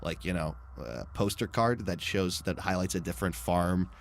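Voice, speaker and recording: A man's voice: low-pitched, lively intonation, normal speed, vocal fry, a little hiss or hum. A man hosting a podcast, giving a casual sponsor read with chatty asides. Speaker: podcast host reading sponsor.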